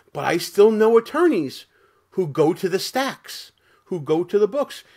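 Middle-aged man, moderately high pitch, conversational, emphatic repetition, video call from home office. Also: darkish tone. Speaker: lawyer, podcast panelist. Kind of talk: conversational discussion.

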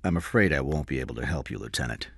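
A man voicing a guarded, wealthy businessman and father in an audiobook story, with a flat, terse manner. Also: very deep voice, fast talker, gravelly, husky, dry recording, studio quality, voice pushed down, full character voice. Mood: flat, cold.

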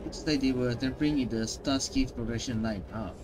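A man's voice: medium pitch, unhurried, over music. Male gamer giving a casual playthrough reaction. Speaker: gaming streamer. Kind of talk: casual game reaction and reading aloud. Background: game audio.

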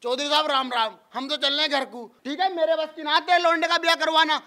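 A man playing a folksy village matchmaker in a comic cartoon skit, with a rural western Uttar Pradesh accent and a pitched-up voice. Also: little pitch movement, fast, pushed and bright. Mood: exasperated.

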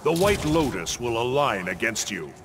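An actor playing a stern, righteous thunder god warrior in a video game, solemn, formal and commanding. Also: male voice, mid-range voice, big pitch swings, moderate pace, music in the background. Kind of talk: video game character acting.

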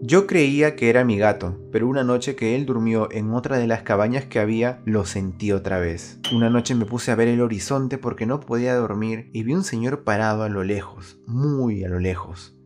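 A man narrates scripted stories as the host of an animated storytime video. His voice is mid-pitched, with music playing underneath. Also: moderate pace, hardly any pauses.

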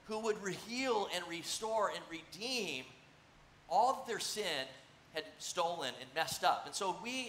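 A middle-aged man delivering a sermon with animated, conversational energy, his voice high and bright. He speaks on a church stage, and the sound carries a roomy echo with a faint hiss underneath. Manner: animated, conversational, gesturing while seated on a stool. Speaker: pastor. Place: church stage, large room. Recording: echoey church room, faint noise.

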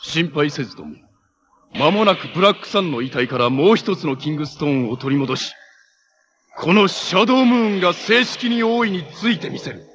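A man's voice: on the high side, lively intonation, fairly quick, a bit bright, slight background noise. A man playing a haughty armored villain from a tokusatsu TV show, heir to an evil empire, making grandiose, commanding, theatrical declarations. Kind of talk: Japanese tokusatsu TV villain acting. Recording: clip from 1980s TV with music behind.

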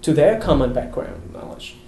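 A young man, an international student, speaking English thoughtfully in an interview with soft laughs. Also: lively intonation, moderate pace. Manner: thoughtful, gestures, laughs softly. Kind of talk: casual interview. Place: college room by windows. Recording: echoey room with faint rumble.

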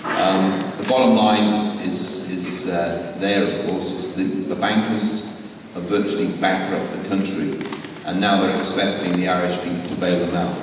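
A man's voice: low voice, steady tone, measured pace. An older man hosting a conference, introducing the next speaker hesitantly into a handheld mic. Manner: hesitant, stumbling over names. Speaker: conference host. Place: large hall on a stage. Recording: echoey hall from far off, crowd noise.

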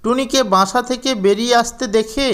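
A man's voice: extremely high pitch, normal speed, fairly bright tone, clean tone. A man narrating a scripted children's story.

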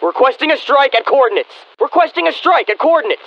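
An actor playing a confident American special forces soldier in a military video game, with terse, self-assured delivery. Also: male voice, very high voice, big pitch swings, quick pace, full character voice. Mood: urgent, strained. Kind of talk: military game unit acknowledgements. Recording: slightly muffled radio-style game audio.

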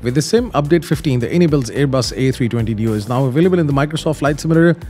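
A man's voice: mid-range voice, lively intonation, racing, dark tone. Man explaining steps over background music. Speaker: host of flight simulator tutorials. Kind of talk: casual step-by-step tutorial narration.

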